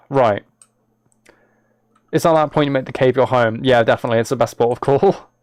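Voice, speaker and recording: A man's voice: medium pitch, normal speed, a little mellow, clear, resonant tone, no echo, studio quality. A young British man chatting casually on a gaming livestream, with a wry, playfully exasperated way of talking. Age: late teens to early 20s. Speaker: live streamer.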